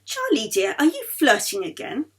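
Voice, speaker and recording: A woman voicing a coaxing, gently scolding society wife of the 1930s in a storybook, with a wheedling hostess manner. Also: sits high, huge pitch swings, normal speed, voice pushed up, exaggerated voice. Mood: mock scolding.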